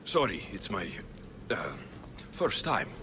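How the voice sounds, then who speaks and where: Low-voiced, guarded mercenary hero. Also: male voice, big pitch swings, slow pace, noisy background. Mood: feigned hesitance. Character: guarded, wry male mercenary hero going undercover. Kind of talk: video game cutscene acting. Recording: prominent music underneath. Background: game music.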